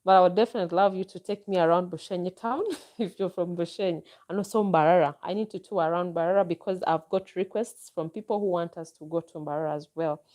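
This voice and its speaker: Ugandan lady vlogger, mellow warm tone, chatty and excited, reading out viewer comments. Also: on the low side, fast. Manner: enthusiastic, chatty, with frequent exclamations. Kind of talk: casual live chat.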